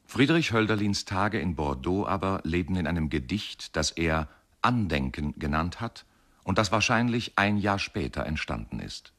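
Male radio narrator, very low voice, solemn and measured reading of lyrical prose. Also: expressive, fairly bright tone. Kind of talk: scripted literary narration.

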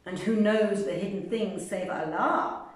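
A shifty male police chief dodges every question with pious phrases, as a woman reads him. He speaks slowly and deliberately, and the whole thing rings with heavy room echo. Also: medium pitch, lightly acted. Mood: deflecting. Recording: very echoey room.

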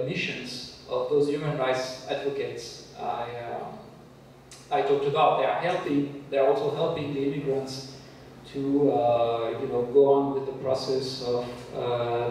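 A man's voice: mid-range voice, dark tone, far from the mic, a little hiss or hum. French-accented man lecturing in an echoey room. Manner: measured, explanatory, frequent "uh" hesitations. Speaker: guest lecturer. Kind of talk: answering audience questions at a seminar. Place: university seminar room. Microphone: handheld mic, filmed from far back.

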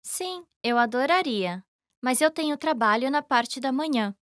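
A woman reading scripted lesson dialogue in a careful, even way. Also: sits high, unhurried, no echo, studio quality. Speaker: language lesson voice actor.

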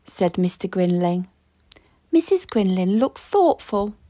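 A woman reading a children's story aloud with expressive, deliberate, dramatic narration. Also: medium pitch, no echo, studio quality. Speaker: storybook reader.

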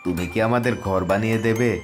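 A man's voice: lowish voice, fairly quick, over music, lightly acted. A man voicing a squabbling little magical dwarf in a cartoon, blunt and chiding. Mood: friendly.

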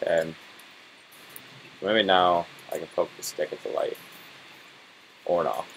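Young male let's play host, deep register, casual and foul-mouthed, faintly noisy recording with game audio. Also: fairly even intonation, takes it slowly, gravelly. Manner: casual swearing. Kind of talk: casual gaming commentary.

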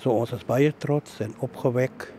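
A middle-aged man speaking slowly and proudly into a handheld mic. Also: medium pitch, steady tone, background noise. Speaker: graduate's father. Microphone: handheld furry mic.

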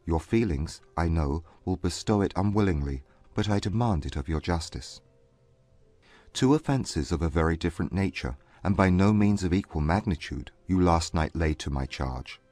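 An actor playing a proud, haughty young Regency gentleman in a dramatized audiobook, speaking in a formal, composed, measured way. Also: low voice, animated, no echo. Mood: stiff, wounded pride. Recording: dry close recording with music behind. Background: music.

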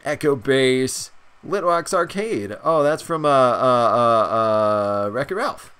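A young man with a stuffed-up nose, chatting excitedly and rambling through a video game's shop menus as a gaming channel host. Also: medium pitch, clear, resonant tone. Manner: excited, rambling, many fillers. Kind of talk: casual gameplay commentary.

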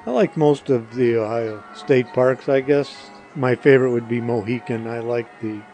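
A man's voice: mid-range voice, steady tone, normal speed, dark tone, faint music behind. A man being interviewed on a podcast, giving casual, thoughtful and understated answers with some hesitation.

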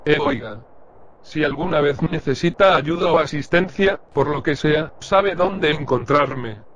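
A man's voice: a little high, measured pace, a bit bright, muffled recording. A man playing a friendly, admiring convenience store clerk who talks politely and earnestly in a crime drama. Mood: grateful, earnest. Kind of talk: scripted machinima crime drama dialogue.

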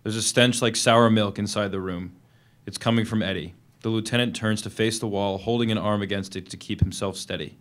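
Low-voiced young man reading dramatically. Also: steady tone, fairly quick. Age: young adult. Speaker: actor reading as first-person narrator. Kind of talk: dramatic reading of literary narration and dialogue. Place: on stage at a live reading event. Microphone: microphone on a stand.

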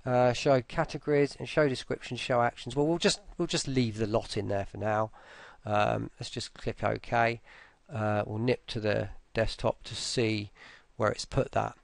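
A man walks through software in an informal, think-aloud style. The voice has a gravelly fry at an average pitch, and the recording is dry, with very little room sound. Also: unhurried, few pauses, dry recording. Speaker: software tutorial presenter. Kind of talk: casual screen-recorded walkthrough.

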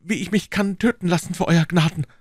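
A man's voice: sits high, brisk, a little mellow, slightly rough, no room sound, clean studio sound, voice pushed up. A man voicing a hot-headed, earnest young swordsman in an audiobook, stammering and gallant. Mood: flustered, pleading. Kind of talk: audiobook character acting.

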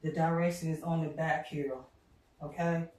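A woman's voice: low voice, flat delivery, normal speed, a little mellow. A middle-aged woman cooking at home, chatting casually to viewers with lots of fillers and y'all. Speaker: cooking vlogger. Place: home kitchen, indoors. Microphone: far camera on counter. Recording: roomy kitchen sound from a distance.

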